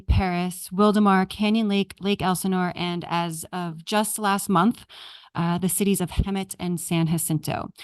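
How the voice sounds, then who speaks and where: A woman who directs housing at a nonprofit, making a formal, measured prepared statement to a city council. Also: medium pitch, smooth, no echo. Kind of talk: prepared public comment. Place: council chamber.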